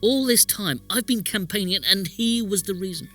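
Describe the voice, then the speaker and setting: Man acting bitter resentful brother type, highish tense voice, very up and down, audio drama. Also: expressive, moderate pace, bright, forceful tone, soft background music, full character voice. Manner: aggrieved, emphatic. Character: bitter, resentful brother of a wealthy village lord.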